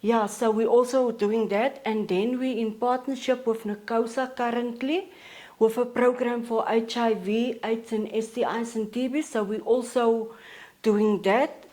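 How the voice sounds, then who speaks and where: A South African woman in her forties, a counselor talking as a podcast guest in a steady, matter-of-fact way with frequent pauses. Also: fairly high pitch. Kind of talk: casual interview talk.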